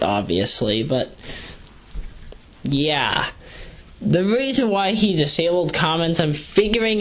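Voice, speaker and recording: Sleep-deprived, hyper woman rambling casually. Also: sits low. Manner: digressive, self-correcting, slangy. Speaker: video reviewer, vlogger. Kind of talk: casual rambling audio review. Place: pacing around while recording.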